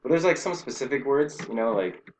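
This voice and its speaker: A young man chatting casually as an interview guest, peppering his talk with "like" and quick laughing asides. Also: medium pitch, expressive, slight background noise, rough recording. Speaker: guest, Japanese learner working in Japan. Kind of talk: casual conversation.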